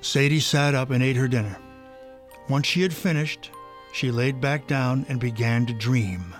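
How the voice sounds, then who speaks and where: A man's voice: mid-range voice, unhurried, soft background music. A man narrating a scripted children's story.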